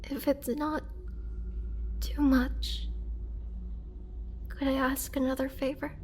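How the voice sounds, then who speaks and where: A frail vampire prisoner, performed by a woman, speaks in a fairly high, soft voice. She takes her time, halting between words as if drained of strength. Her tone is gentle, courteous and earnestly pleading, in the close style of a roleplay audio drama. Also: level tone, a little hiss or hum. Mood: weak, pleading. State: weak, drained. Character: weakened imprisoned female vampire, gentle, polite, pleading. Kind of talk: girlfriend roleplay audio drama, intimate acting.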